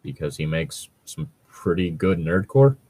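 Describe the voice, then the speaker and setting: A man's voice: sits low, big pitch swings, unhurried, dark tone, breathy edge. A man co-hosting a podcast in casual banter and mock story reading, with a wry, sweary manner and a fondness for puns. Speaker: podcast co-host.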